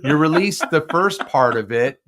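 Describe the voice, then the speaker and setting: A man's voice: a little high, animated, moderate pace, fairly bright tone. A man hosting a casual podcast, chatty and enthusiastic as a music fan. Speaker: podcast host.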